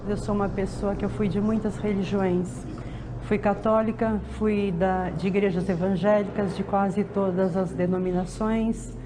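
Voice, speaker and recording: A woman's voice: medium pitch, steady tone, unhurried. Fifties woman, earnest testimony, noisy room audio. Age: 50s. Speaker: interviewee, convert. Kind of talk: recorded testimony.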